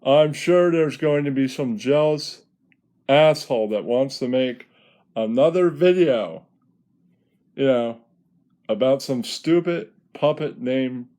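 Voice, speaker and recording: A man's voice: mid-range voice, slow, warm tone, close to an everyday voice. A man voicing a flamboyant, foul-mouthed male puppet who preens and teases in a comedy puppet show monologue. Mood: sassy, preening. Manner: preening, teasing, theatrical.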